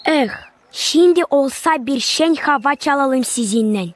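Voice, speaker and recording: A woman's voice: high voice, measured pace, no echo. A woman voicing a curious, thoughtful young animal child in a cartoon, friendly and musing. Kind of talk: cartoon character acting.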